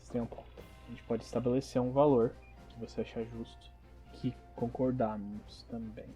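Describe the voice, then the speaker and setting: A man playing an earnest, solemn agent who recruits heroes in a measured, calm, explanatory way for a tabletop audio drama. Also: mid-range voice, faint music behind, close to an everyday voice. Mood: calm, negotiating.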